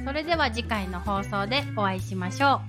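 A woman's voice: mid-range voice, animated, fairly quick. Woman podcast co-host, polite attentive chat, music in the back. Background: background music.

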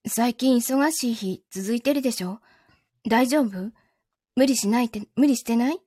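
A woman acting in a Japanese voice drama as a calm, understanding, quietly lonely girlfriend, speaking gently with a Kansai accent. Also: on the high side, normal speed, no echo, studio quality, close to an everyday voice. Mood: gentle, caring. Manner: composed, gentle, reassuring.

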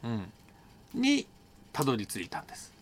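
A man casually telling a personal travel story, colloquial and animated, acting out bits of dialogue. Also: medium pitch, normal speed. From Japan. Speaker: solo audio storyteller, host.

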